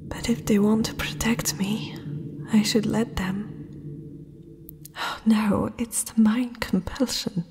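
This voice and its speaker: A woman voicing a young princess, proud yet uneasy, in a hesitant breathy whisper for an ASMR fantasy roleplay. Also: mid-range voice, fairly even intonation, darkish tone. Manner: hesitant, slow, intimate.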